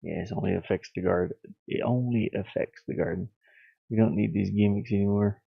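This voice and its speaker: A man thinking aloud on a casual livestream as he works, muttering in a halting, absent-minded way. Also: fairly low pitch, steady tone, gravelly, breathy edge. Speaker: livestreamer, hobby game developer. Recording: boxy echo, thin phone-like sound.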